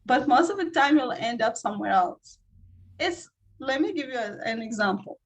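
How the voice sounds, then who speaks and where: High-voiced Iraqi woman telling personal stories. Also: animated, normal speed. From Iraq. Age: 30s. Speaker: interview guest. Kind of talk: personal storytelling in conversation.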